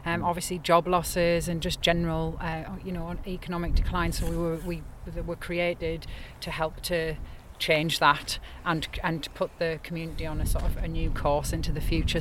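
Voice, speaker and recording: A woman's voice: deep voice, noisy background. A woman from Sunderland, an estate manager, explaining things steadily with many fillers and restarts in an outdoor interview. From Sunderland, north-east England. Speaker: nature reserve estate manager. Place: open moorland outdoors.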